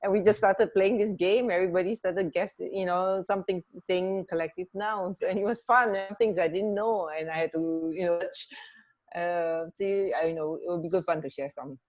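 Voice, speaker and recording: A woman co-hosting a radio show in casual chat, halting and often rephrasing mid-sentence. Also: on the low side, fairly even intonation, a little mellow.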